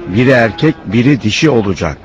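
Man playing a lively, good-natured cartoon helper. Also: medium pitch, fairly quick, crowd noise, natural voice. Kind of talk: cartoon voice acting. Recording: muffled, slightly noisy cartoon soundtrack.

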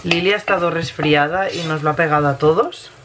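A woman in her thirties with a Spain Spanish accent, slightly hoarse from a cold, casually narrating a recipe as she cooks in her home kitchen. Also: deep voice, brisk. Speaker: home cooking vlogger. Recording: noisy kitchen with sizzling pan. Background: frying and stirring sounds.

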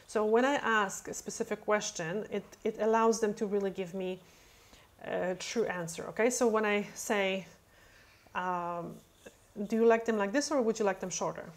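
A woman's voice: mid-range voice, fairly even intonation, moderate pace. A woman in her thirties, a nail technician whose first language is not English, talking casually into a webcam and acting out chats with clients, with many fillers. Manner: chatty, acts out client dialogue, many fillers. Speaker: nail technician answering questions. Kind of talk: casual explanatory talk. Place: indoor room, webcam over screen.

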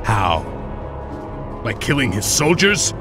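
An actor playing a wary, honorable samurai in a fantasy game, serious and grim in manner. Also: male voice, medium pitch, sing-song, slow. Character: wary, honorable male samurai warrior of Serpent clan. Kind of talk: video game cutscene acting. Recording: music behind the voice.

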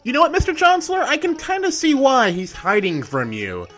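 Casual let's play host, chatty and lively in pitch, medium register, music behind. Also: brisk. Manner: chatty, thinking aloud. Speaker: gaming video host.

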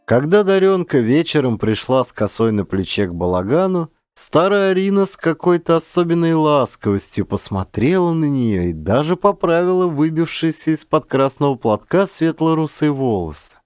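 A male audiobook narrator performs a literary tale. His pitch moves in lively swings, and the recording is dry and close, with no room sound. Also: moderate pace, hardly any pauses, clear, resonant tone, no room sound.

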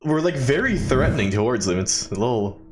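A man's voice: medium pitch, very animated, a little fast, slight background noise. A young man giving casual, joking let's play commentary as a gaming YouTuber. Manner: wry, joking.

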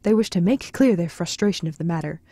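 An actress playing a composed, dutiful daughter who hosts a dystopian broadcast in a formal, careful, polite way. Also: female voice, fairly low pitch, expressive, fast, warm tone, husky. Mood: grave, apologetic. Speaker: broadcast host.

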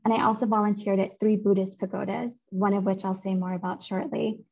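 A woman in her thirties giving a seminar talk on her research over a video call, in a clear, measured academic delivery. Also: medium pitch, level tone, dark tone. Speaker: seminar speaker, author presenting research. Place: home room. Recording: muffled video call audio.

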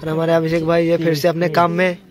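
A man's voice: on the high side, completely flat delivery, fast talker. A young man giving informal amateur cricket commentary. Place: open tent beside a village cricket ground. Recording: phone recording amid crowd noise. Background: crowd.

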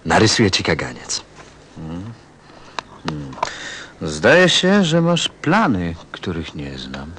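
A man's voice: deep voice, wildly swooping pitch, takes it slowly, pauses often, a bit bright, slight background noise, full character voice. An actor playing a tender, thoughtful pilot in a radio play, a grown man speaking with reflective feeling. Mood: soft, concerned. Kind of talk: radio play acting and first-person narration.

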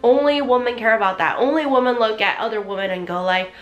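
A woman in her twenties talking to camera in a bedroom, animated and chatty with lots of fillers, her voice half gone. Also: fairly high pitch, quick pace. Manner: animated, chatty, gestures a lot, many "like" fillers. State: losing her voice. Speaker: vlogger. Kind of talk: casual talk to camera. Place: small bedroom indoors.

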